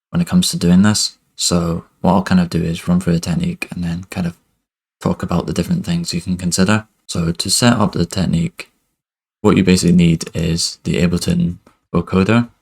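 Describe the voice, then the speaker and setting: A young man teaching music production over a screen recording in a relaxed, loose way, with lots of "kind of" and "basically", speaking into a headset mic in a home studio. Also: sits low, normal speed, vocal fry, slightly rough. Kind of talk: screen-recorded software tutorial. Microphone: headset with webcam inset.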